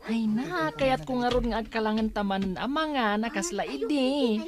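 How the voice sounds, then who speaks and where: A woman's voice: on the high side, a little slow, a crowd in the background, close to an everyday voice. A woman with an Ilocano accent acting a kind, curious young schoolmate in a drama. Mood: friendly, coaxing.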